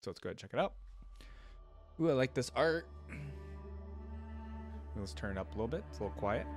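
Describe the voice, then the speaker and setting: A man hosting a reaction video in a casual, informal way. His voice sits at a medium pitch, and he thinks aloud in loose, filler-heavy phrases. Music plays clearly behind him as he talks. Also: animated, a little fast. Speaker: YouTube reaction host.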